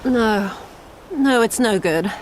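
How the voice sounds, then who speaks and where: Cruel bossy villain lady, super theatrical, pitch all over the place, some noise behind. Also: lively intonation, slow pace, rough recording. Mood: frustrated, bored. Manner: theatrical, imperious, mocking. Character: cruel, capricious, haughty imperial villainess, a commanding lady. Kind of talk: video game cutscene acting.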